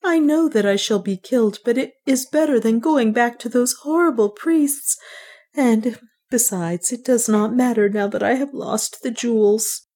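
A woman's voice: a little high, a little slow, warm tone, pitched above the performer's own voice. A woman voicing an anguished, tearful young woman in an audiobook adventure story, wailing and gasping her lines. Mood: fearful, resigned. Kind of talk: dramatised audiobook dialogue.

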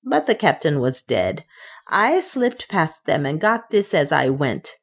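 A woman's voice: very animated, unhurried, husky, full character voice. Woman acting a desperate, wailing servant woman. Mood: weary report. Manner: shrill, wailing, pleading. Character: wounded, half-mad, devoted servant woman, desperate and wailing.

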